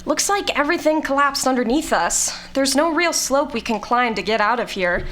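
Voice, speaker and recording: A woman playing a snarky, brisk scientist in an audio drama, with a dry, instructing manner. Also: sits high, animated, fairly bright tone. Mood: practical, brisk. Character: snarky, brisk female scientist exploring a planet.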